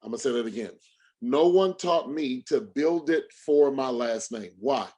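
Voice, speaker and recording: A man's voice: mid-range voice, lively intonation, normal speed, noisy background. A man giving a motivational sales talk on a livestream as a coach, speaking emphatically with preacher-like repetition and rhetorical questions.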